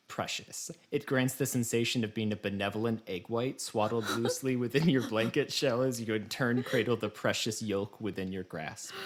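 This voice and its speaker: A man's voice: lowish voice, lightly acted. A man playing a melodramatic, pompous online ranter in an emphatic dramatic reading of a post. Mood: reverent, grandiose. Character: melodramatic, indignant online ranter turning reverent and grandiose.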